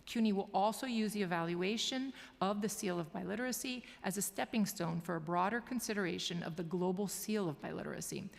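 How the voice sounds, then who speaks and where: A woman's voice: medium pitch, a little fast, vocal fry, few pauses. A woman university administrator reading prepared testimony formally in a council chamber. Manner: formal, read from a statement. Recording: long reverb of a large hall.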